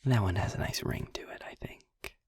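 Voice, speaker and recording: A man playing a sarcastic, philosophical demon in an intimate audio roleplay, speaking deliberately in a husky, breathy half-whisper with a frustrated but principled air. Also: no room sound, studio quality, natural voice. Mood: wry, teasing. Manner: sardonic, deliberate, ranting with pauses and sighs.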